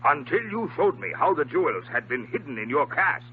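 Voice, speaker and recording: An actor playing a gruff but decent ship's captain in a 1950s radio drama. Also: male voice, a little high, sing-song, a little fast. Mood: apologetic. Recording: tinny, noisy 1950s radio recording.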